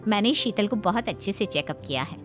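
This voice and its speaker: A woman voicing a matter-of-fact doctor breaking bad news in a cartoon story, measured and explanatory. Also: mid-range voice, animated, soft background music, natural voice. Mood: grave, matter-of-fact. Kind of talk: Hindi cartoon story voice acting.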